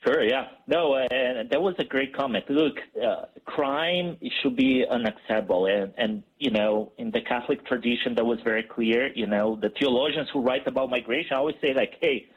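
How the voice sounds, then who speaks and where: Guest expert: an earnest man with a husky voice, halting, on a dull line. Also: medium pitch. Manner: earnest, halting, many restarts. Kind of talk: radio interview.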